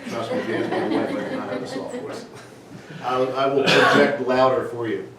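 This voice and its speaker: A man on a township board, speaking in halting restarts with good humor, recorded on a tabletop recorder in a meeting room. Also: medium pitch, sing-song, heavy reverb, distant mic, a loud crowd. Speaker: township supervisor. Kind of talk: casual meeting discussion.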